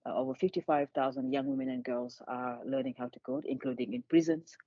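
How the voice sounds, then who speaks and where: A woman talks earnestly as an interview guest, often repeating words as she restarts. Her voice is mellow and warm. The sound is dry and a little dull, as if lo-fi. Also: extremely low pitch, lively intonation, a little fast, creaky, dry recording. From Senegal. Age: 50s. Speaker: charity founder, guest. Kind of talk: conversational interview.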